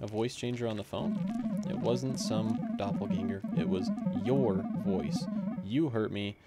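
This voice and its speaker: A man's voice: lowish voice, measured pace, over loud music. A man reading the part of a hurt, accusing wife in a horror game, cold and reproachful.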